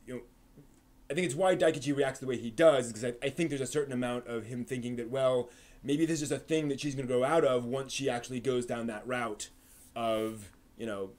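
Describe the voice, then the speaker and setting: A man's voice: medium pitch, darkish tone. Rambling man hosting a live stream. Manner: rambling, halting, many restarts and fillers. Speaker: host of an anime live stream. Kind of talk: casual discussion answering chat.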